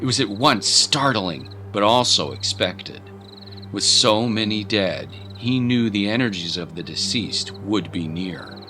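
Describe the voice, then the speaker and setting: Narrator: man, lowish register, dramatic storytelling read with clear music bed behind. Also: animated, measured pace, sharp tone.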